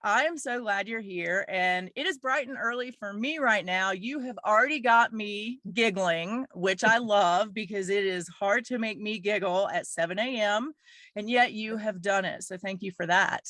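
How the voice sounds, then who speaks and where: A woman's voice: medium pitch, moderate pace, fairly bright tone, dry recording. A woman with a Southern American accent, hosting a podcast interview in an upbeat, enthusiastic way. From Virginia and North Carolina, US. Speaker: podcast host. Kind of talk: casual interview chat.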